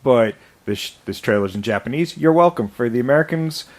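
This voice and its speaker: A man hosting a podcast, easygoing and jokey, laughing his way through sentences. His voice sits at an ordinary middle pitch. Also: animated, a little fast. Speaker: podcast host. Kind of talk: casual podcast chat.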